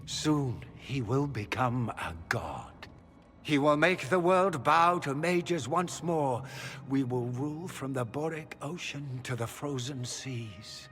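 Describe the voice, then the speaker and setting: A man's voice: medium pitch, lively intonation, slow pace, a bit bright, faint music behind. An actor playing a fanatical magister villain and desperate father in a video game, grave, grandiose and imperious. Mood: grandiose, zealous. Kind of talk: video game character acting.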